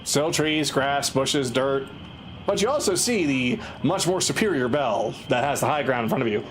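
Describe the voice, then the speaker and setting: Male game master, expressive range, casual tabletop narration, light background noise. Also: mid-range voice, moderate pace.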